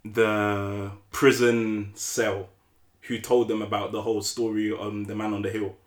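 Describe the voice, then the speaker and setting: Slangy man in a roomy room. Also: on the low side. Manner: animated, slangy, quick to laugh. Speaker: podcast co-host. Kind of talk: casual chat. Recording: roomy echo.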